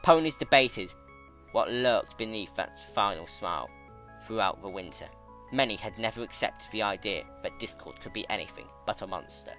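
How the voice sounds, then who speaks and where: A man reading a story as a dramatic narrator, solemn and measured in his storytelling. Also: lowish voice, lively intonation, a bit bright, faint music behind. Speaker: narrator, fan-fiction reader.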